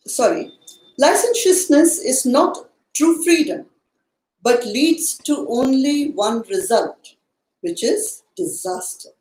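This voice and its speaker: A woman leading a spiritual study class, speaking in a measured, deliberate and formal way as she teaches. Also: high-pitched, warm tone. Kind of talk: spiritual study lesson, explaining and reading aloud from a book. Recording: echoey room sound.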